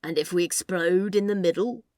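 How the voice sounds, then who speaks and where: A woman's voice: lowish voice, normal speed, a little mellow, no echo, natural voice. A woman voicing a wary, sardonic male demon in snake form for an audiobook story, with a dry, cautious delivery.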